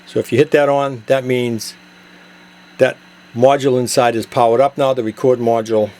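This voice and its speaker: A man doing a casual hands-on product demo, explaining it step by step in a halting, improvised way. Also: medium pitch, little pitch movement, a little mellow. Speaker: product demonstrator and seller. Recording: clear background noise, radio chatter. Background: CB radio chatter and noise.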